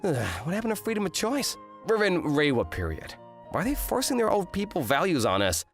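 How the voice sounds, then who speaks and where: Casting: grumbling teenage rom-com hero played by a man, indignant, highish voice, very lively swings, music underneath. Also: a little fast. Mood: exasperated. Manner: indignant, complaining, dramatic. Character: exasperated eighteen-year-old male student, grumbling rom-com fiancé. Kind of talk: manga dub acting and first-person narration.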